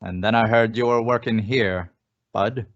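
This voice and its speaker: A folksy former police chief from an old radio thriller, acted by a man speaks in a fairly low voice. He coaxes and insinuates, always persuading. The audio is slightly dull and lo-fi. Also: steady tone, measured pace. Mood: folksy, knowing. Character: folksy, coaxing male former small-town police chief. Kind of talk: old-time radio suspense acting.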